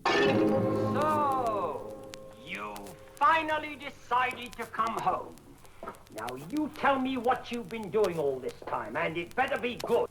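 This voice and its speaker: A performer voicing a stern father who speaks slowly and sarcastically. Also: mid-range voice, sing-song, music in the background, poor audio. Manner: slow, sarcastic.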